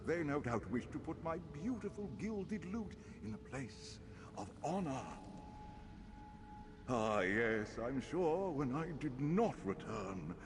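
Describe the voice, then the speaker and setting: An actor playing the boastful, foppish ghost of a knightly bard in a fantasy video game, speaking in flowery archaic phrases. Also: male voice, medium pitch. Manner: theatrical, flowery, archaic, lamenting. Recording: music behind the voice.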